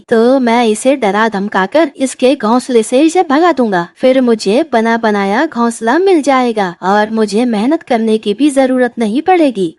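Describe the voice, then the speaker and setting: High-voiced bossy crow bully, played by a woman. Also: darkish tone, no room sound, studio quality. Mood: sly, scheming. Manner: taunting, threatening, bossy. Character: bullying, lazy, scheming male crow. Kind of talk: animated fable dialogue.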